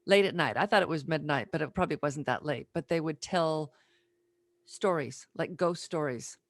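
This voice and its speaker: A woman's voice: low voice, moderate pace, no room sound, clean studio sound. A middle-aged woman from the United States hosting a podcast in a casual, conversational interview style. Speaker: podcast host.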